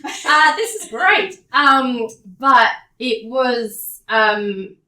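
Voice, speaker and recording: A woman's voice: fairly high pitch, animated, drawn-out words, pushed and bright. An Australian woman who grew up speaking Taiwanese talks in loose, rambling sentences full of fillers. She sounds like an interview guest caught on a poor mic, with a roomy echo around her voice. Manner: chatty, loose run-on sentences, many fillers. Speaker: guest, estate agent and DJ. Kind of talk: casual interview chat. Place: hotel room in a city. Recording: echoey room, poor mic.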